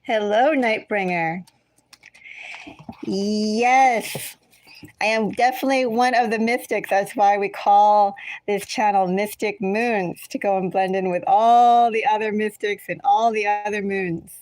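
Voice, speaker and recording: A woman's voice: mid-range voice, fairly even intonation, unhurried, darkish tone, no echo. American woman, casual livestream tarot host, dry sound. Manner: rambling, chatty asides. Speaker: tarot reader hosting a livestream. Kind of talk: casual livestream chat with viewers.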